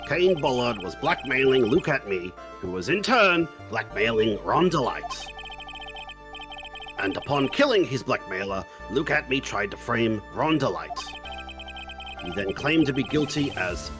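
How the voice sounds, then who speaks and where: A man's voice: mid-range voice, big pitch swings, unhurried. A man voicing a pompous, ponderous and befuddled old judge in a live fan dub of a courtroom game. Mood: reflective. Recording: game music behind the voice.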